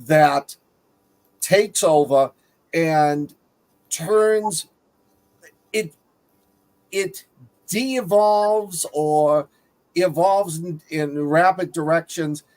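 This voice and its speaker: Male panelist, voice a little high, informal and halting film chat. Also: a little mellow, rough recording. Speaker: panelist on a movie review show. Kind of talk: casual movie discussion.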